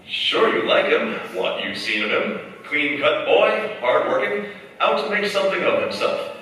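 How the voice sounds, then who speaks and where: A folksy, conversational narrator played by a man in 1940s radio drama style. His voice is bright and very animated, recorded from afar in a very echoey space. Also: sits high, unhurried, recorded from a distance, soft background music, full character voice. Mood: wry, knowing. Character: folksy inner-voice narrator addressing the hero as you. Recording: echoey stage from afar, faint noise.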